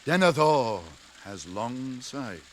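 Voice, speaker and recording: An actor playing a wise, stern old wizard in British fantasy radio drama, with measured, weighty, archaic speech. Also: male voice, medium pitch, sing-song, a bit bright, a little hiss or hum. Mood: kindly, cautioning.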